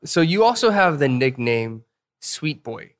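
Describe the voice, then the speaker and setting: A man's voice: a little high, big pitch swings, a little slow. A man hosting a casual interview, asking questions in a chatty, joking manner. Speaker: interviewer, host.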